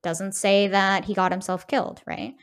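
A woman's voice: medium pitch, little pitch movement, normal speed, clean tone. A woman co-hosting a podcast, explaining her points casually and emphatically, often checking in with short tag questions. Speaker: podcast co-host. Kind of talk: casual conversational game discussion.

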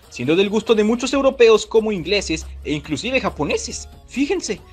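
High-pitched, animated man narrating. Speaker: video host. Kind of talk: scripted narration for a music trivia video.

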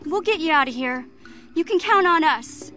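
An actress playing a reassuring young heroine in a video game. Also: female voice, sits high, lively intonation, moderate pace. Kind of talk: video game character acting. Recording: loud music over voice.

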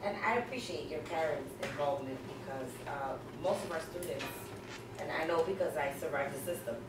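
A woman's voice: low voice, brisk. A woman in the audience of a conference, commenting earnestly and telling a personal story. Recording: echoey room from far off, noisy.

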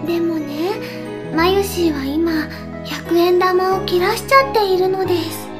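A woman voicing a sweet, airy, childlike teenage girl in an anime-style visual novel, with cutesy drawn-out speech. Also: very high voice, fairly even intonation. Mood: pleading, dreamy. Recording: music behind the voice.

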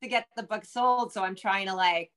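A woman's voice: mid-range voice, normal speed, a bit bright, clear, resonant tone. A middle-aged American woman talking casually as an interview guest on a video call, thinking aloud as she goes. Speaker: guest novelist. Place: indoor room at home, video call.

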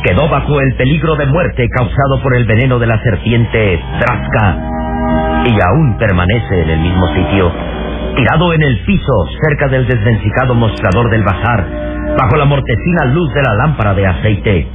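A man giving solemn, measured dramatic narration for an old radio serial. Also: medium pitch, lively intonation, close to an everyday voice. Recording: tinny vintage radio, music behind.

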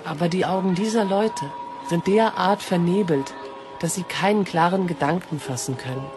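Woman doing mysterious hooded lady, calm n cryptic, audio drama, music underneath. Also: lowish voice, moderate pace, close to an everyday voice. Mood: calm, conspiratorial. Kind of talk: German audio drama acting. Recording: music behind the voice.

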